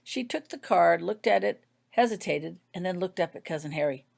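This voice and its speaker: A woman's voice: lowish voice, lively intonation, normal speed, creaky, darkish tone. A woman narrating a scripted story, reading her own fiction aloud.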